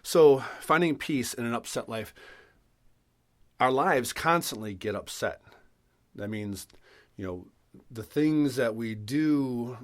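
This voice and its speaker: Earnest man with a stuffy cold. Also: on the low side. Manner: earnest, thoughtful, with frequent restarts. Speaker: podcast host. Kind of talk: reflective spiritual talk.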